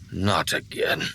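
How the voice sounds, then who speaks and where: A man voicing a huge, ancient male dragon, grumpy, proud and weary, with a gravelly voice, in a fantasy tabletop game. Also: low-pitched, sharp tone, slight background noise, heavily acted. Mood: weary, exasperated.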